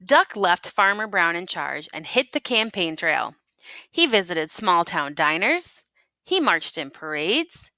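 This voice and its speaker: Female storybook reader, teacher type, lively swooping intonation, questioning asides, dry recording. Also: fairly low pitch, slow, no echo. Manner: teacherly, prompting listeners with questions. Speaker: teacher reading a picture book aloud to children. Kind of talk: expressive read-aloud storytelling with asides and questions.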